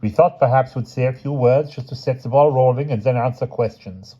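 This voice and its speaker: A man's voice: mid-range voice, a little fast, warm tone, dull audio, voice pushed up, lightly acted. A man voicing a fussy, eager young male official who gushes apologetically, partly in a put-on Austrian accent. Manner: apologetic and gushing.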